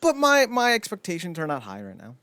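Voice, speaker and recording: A man's voice: high voice, huge pitch swings, brisk, pushed and bright, no room sound. A man hosting a podcast in casual chat about films, rambling along with blunt, wry opinions. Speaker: podcast host.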